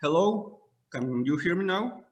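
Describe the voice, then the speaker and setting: Mexican-accented caller, non-native English, hesitant, courteous, reverberant sound. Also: male voice, high voice, animated, muffled recording. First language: Spanish. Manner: halting, polite, repeats words. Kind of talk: call-in question.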